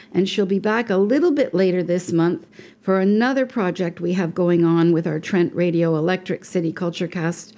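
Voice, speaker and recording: A woman hosting a radio interview, steering with questions and quick mm-hmms. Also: lowish voice, a little mellow. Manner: prompting, with frequent mm-hmms. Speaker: radio host. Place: radio studio.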